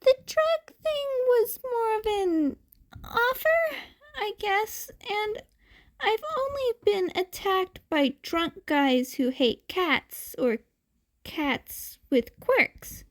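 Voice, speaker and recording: A woman voicing a small, timid cat-boy in a podfic story, with a quiet, hesitant, pleading voice. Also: very high voice, big pitch swings, extremely slow, pitched above the performer's own voice, exaggerated voice. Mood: wary, subdued. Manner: hesitant, pleading, breaking off mid-sentence. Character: frightened small cat-boy, timid, wary, cheekily cute.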